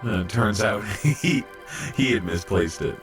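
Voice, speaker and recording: Guy playing a shy awkward robot medic, slow stammery apologetic talk, audio drama with loud music under it. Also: fairly low pitch, expressive, far from the mic. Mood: reflective, hesitant. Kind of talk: fan audio drama acting.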